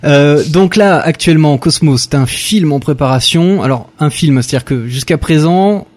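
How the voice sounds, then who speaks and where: A man's voice: fairly high pitch, normal speed, darkish tone. A man hosting and interviewing on a radio show, chatty with many fillers and hesitations.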